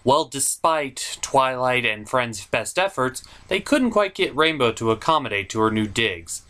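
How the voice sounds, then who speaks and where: Guy hosting a funny cartoon review, really up and down pitch, theatrical and fake exasperated. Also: sing-song, measured pace, pushed and bright. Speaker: host of a puppet cartoon review show. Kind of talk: scripted comedic review narration.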